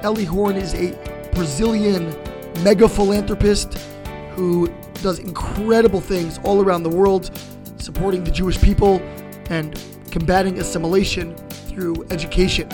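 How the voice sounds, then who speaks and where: A man with a raspy, strained voice, reading a podcast introduction and interviewing a guest. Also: high voice, steady tone, moderate pace. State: strained, hoarse voice. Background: music.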